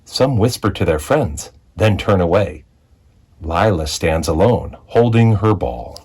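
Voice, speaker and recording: A man reading a children's picture book aloud as a storyteller, with expressive narration and deliberate dramatic phrasing. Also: deep voice, slightly rough. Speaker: read-aloud storyteller, school executive.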